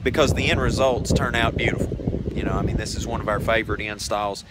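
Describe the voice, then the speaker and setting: Young man, lowish voice, folksy, rambling, informal tour, noisy handheld recording. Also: fairly even intonation, quick pace. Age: young adult. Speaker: off-grid solar contractor, video host. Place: hilltop job site, in and out of doors. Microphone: phone held by the speaker.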